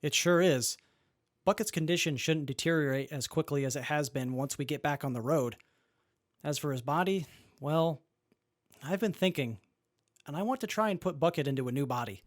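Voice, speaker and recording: A man playing a capable, good-humoured young wasteland mechanic in an audio drama, measured and matter-of-fact. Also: a little high, no echo, clean studio sound, close to an everyday voice. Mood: focused. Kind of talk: post-apocalyptic audio drama acting.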